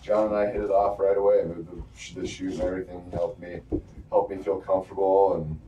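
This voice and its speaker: A man's voice: deep voice, level tone, fast, mellow. A man, a singer-songwriter guest, chatting casually in an easygoing, agreeable way. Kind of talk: casual chat. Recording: dull, roomy, distant.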